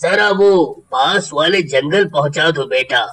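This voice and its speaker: An imperious cartoon tantric sage, performed by a man, pitched down from a high natural voice. He speaks slowly, as one giving orders. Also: fairly bright tone. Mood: commanding. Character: commanding tantric holy man.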